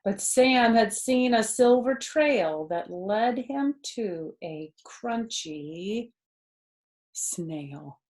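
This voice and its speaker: Slow-paced woman reading to children. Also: a little high, expressive, a little mellow. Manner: slow, deliberate, explains words simply to children. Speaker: storyteller reading picture books to children. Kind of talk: read-aloud storytime with chatty asides. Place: small furnished living room, indoors.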